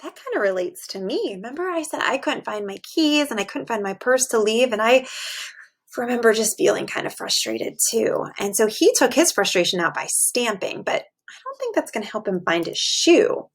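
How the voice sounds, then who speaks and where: A woman's voice: fairly high pitch, very animated, moderate pace, breathy edge. A woman teacher reading aloud to young children, explaining things simply and expressively and asking them questions.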